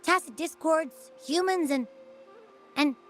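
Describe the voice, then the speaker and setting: A woman's voice: high-pitched, takes it slowly, faint music behind. A woman voicing a small, boastful creature companion in a video game, huffy, blunt and haughty. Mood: alert, uneasy.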